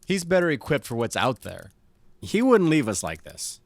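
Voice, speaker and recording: A man's voice: medium pitch, big pitch swings, moderate pace, gravelly, no room sound. A man acts out an easygoing, wisecracking city gang member in an audio drama. His delivery swings freely up and down, full of teasing banter. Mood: matter-of-fact. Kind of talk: casual audio drama dialogue.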